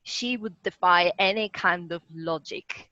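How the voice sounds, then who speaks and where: Italian-accented woman with a lowish voice. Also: unhurried, sharp tone, no room sound, muffled recording. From Italy. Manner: earnest, encouraging, talks in long runs. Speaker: guest, rocket scientist. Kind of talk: podcast interview.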